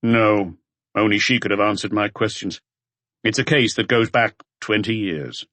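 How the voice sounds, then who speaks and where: Maigret, a measured low-voiced detective played by a man. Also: fairly bright tone, dry recording, natural voice. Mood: resigned. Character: Maigret, patient, serious middle-aged male police detective.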